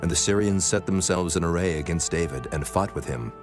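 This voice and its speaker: Very deep male scripture narrator. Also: brisk. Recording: music bed under the voice.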